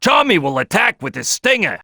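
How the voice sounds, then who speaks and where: Brash gravelly cartoon crocodile, high-pitched and forceful. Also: male voice, sing-song, moderate pace, no room sound, clean studio sound, full character voice. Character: big brash loud male cartoon crocodile, team leader. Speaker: video game character giving gameplay tips. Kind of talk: animated game tutorial voice lines.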